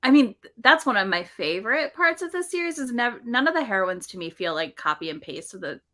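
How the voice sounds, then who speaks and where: A woman's voice: a little high, big pitch swings, brisk, sharp tone. A woman chatting casually in a podcast book discussion, often voicing her reactions as little quotes. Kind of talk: casual chat.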